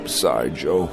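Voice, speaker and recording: An actor playing a dazed, doubting ageing boxer in a radio drama, speaking haltingly and repeating his words. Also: rumbling low, gravelly, exaggerated voice. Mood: dazed, confused.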